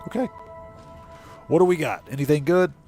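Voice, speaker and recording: A man's voice: on the high side, moderate pace, mellow, faint music behind. A man livestreaming a video game, giving casual commentary and muttering to himself as he plays. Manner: muttering to himself while playing.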